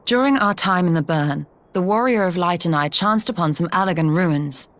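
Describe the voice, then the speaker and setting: A woman's voice: lowish voice, animated, moderate pace, no room sound, lightly acted. A woman playing a cool, scholarly sage in a fantasy game, precise, formal and matter-of-fact. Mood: analytical. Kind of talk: scripted fantasy game acting.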